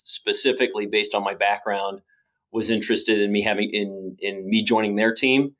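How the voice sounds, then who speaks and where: A man's voice: lowish voice, fairly bright tone, dull audio. A man from Kansas City answering interview questions in a composed, orderly way. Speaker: defense company guest.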